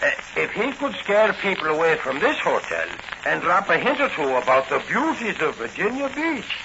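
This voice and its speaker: High, animated cop. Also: male voice, normal speed, creaky. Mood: smug, confiding. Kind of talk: 1940s radio serial acting. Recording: muffled, noisy old radio recording.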